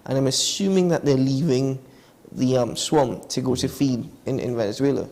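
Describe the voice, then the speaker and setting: Male TV host, mid-pitched, earnest, stop-start phrasing. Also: normal speed, a little mellow. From Trinidad and Tobago. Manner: earnest, restarting often. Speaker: TV show host. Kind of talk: casual studio chat. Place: TV studio.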